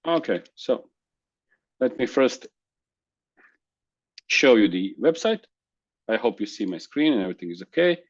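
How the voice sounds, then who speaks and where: A man whose first language is Croatian, presenting a software demo in a webinar, halting with restarts and fillers over a video call. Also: medium pitch, big pitch swings, no room sound, highs cut off. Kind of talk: screen-share software demo.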